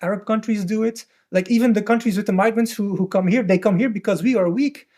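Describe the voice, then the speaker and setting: A man's voice: high voice, fast, dark tone. A man on a discussion panel, making blunt, argumentative points in a conversational way.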